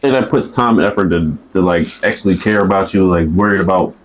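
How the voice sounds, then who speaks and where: A man's voice: low voice, quick pace, low-quality recording. A man who is a guest answering relationship questions, talking in a rambling, hesitant, jokey way in casual chat.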